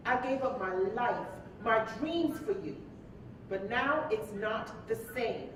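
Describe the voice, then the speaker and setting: On stage, an anguished wife who feels neglected, pressing her case with dramatic emotion. Her voice is high. The recording is distant and heavily echoing, as in a live hall. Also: expressive, slow. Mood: hurt, pleading. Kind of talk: staged play reading, dramatic dialogue. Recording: very echoey live hall, distant mic, rumble.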